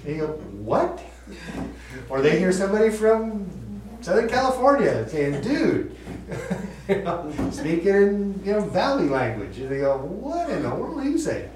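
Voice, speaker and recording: A man's voice: sits high, a little mellow, distant mic. Chatty man teaching in an echoey room. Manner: chatty, laughs often, repeats and restarts. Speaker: Bible study teacher. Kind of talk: casual Bible study teaching. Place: room with group listening.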